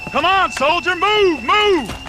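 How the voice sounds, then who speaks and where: An actor playing a gruff, bullying army commander who barks orders at his soldiers in a video game. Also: very high-pitched, expressive, slow, background noise, exaggerated voice. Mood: urgent, commanding. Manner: barking, bullying, shouting. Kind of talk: video game cutscene acting.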